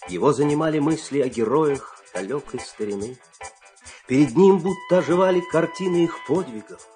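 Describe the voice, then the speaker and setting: Male, folk-tale teller and country husband for audio drama, acted: expressive, theatrical, very lively intonation. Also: a little high, moderate pace, soft background music, lightly acted. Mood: animated storytelling. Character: storyteller and coaxing, secretive farmer husband of a folk tale. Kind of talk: expressive audio play acting and narration.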